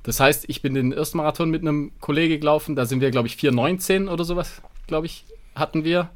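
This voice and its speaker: A man's voice: on the high side, fast. A man co-hosting a podcast in casual chat, often hesitating and rephrasing mid-sentence. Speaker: podcast co-host and hobby runner.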